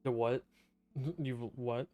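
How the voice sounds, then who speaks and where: A man's voice: mid-range voice, slow pace, mellow. A man giving casual, sarcastic gameplay commentary as a streamer, offhand, with game music behind him.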